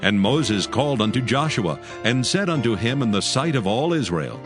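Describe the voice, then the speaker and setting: A man narrating scripture from a script in a formal, measured manner. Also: medium pitch, sing-song. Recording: background music under the voice.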